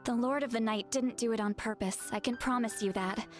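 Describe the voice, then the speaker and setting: Woman voicing blunt female game shaman, fairly high voice, grave, authoritative, explanatory, faint music underneath. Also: brisk, close to an everyday voice. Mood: concerned. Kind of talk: video game voice acting.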